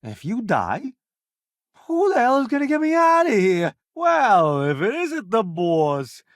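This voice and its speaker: A cocky, wildly expressive spacefaring foodie. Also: male voice, sits high, no echo, clean studio sound, heavily acted. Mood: wry, cocky. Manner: cocky, wry, theatrical showman delivery. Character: brash, self-assured veteran food journalist turned spacefaring crewman. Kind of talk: video game companion voice lines.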